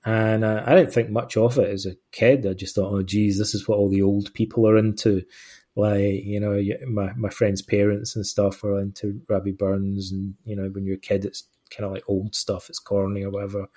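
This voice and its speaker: A man's voice: lowish voice, little pitch movement, moderate pace, mellow. A middle-aged Scottish man, an author answering interview questions in a rambling, self-deprecating way with many fillers and restarts. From Scotland. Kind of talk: relaxed conversational answers.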